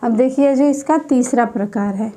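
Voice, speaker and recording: A woman teacher giving an exam-prep grammar lesson, dictating slowly and repeating each term several times. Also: a little high, mellow.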